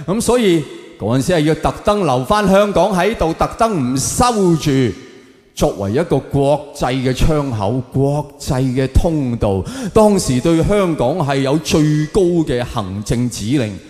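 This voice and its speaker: A man's voice: fairly high pitch, expressive, a little slow, a little mellow, faint music behind. Hong Kong Cantonese man in an echoey hall. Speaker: stand-up comedian. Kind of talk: stand-up comedy monologue. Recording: echoey hall with long reverb.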